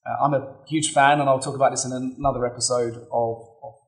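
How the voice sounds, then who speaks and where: A man in his thirties, slightly hoarse from a cold, giving earnest, advisory solo podcast talk as host and coach and thinking aloud as he goes. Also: medium pitch, fairly even intonation, fast, poor audio.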